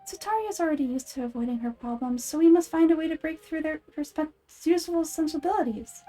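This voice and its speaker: A woman voicing a gentle, thoughtful young girl in fan-made fantasy game dialogue. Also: sits high, a little fast, faint music behind, close to an everyday voice. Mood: thoughtful, explaining. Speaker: amateur voice actor.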